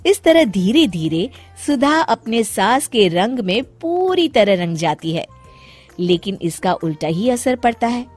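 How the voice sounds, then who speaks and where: A woman storyteller narrates an animated moral tale at a measured pace. Her delivery is expressive and scripted, with faint music underneath. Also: medium pitch.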